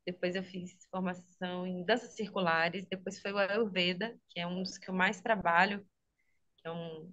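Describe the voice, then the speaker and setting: A woman's voice: medium pitch, a bit bright, muffled recording. Brazilian woman explaining over a video call. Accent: Brazilian Portuguese, Minas Gerais. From Minas Gerais, Brazil. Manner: explanatory, frequent "né?", laughs easily. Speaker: guest, speech therapist and health teacher.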